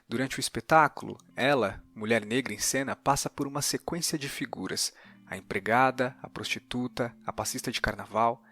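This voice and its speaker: A man with a Brazilian accent, a podcast host speaking in a measured, articulate explanatory way. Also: mid-range voice, a bit bright. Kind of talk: explanatory podcast narration and interview.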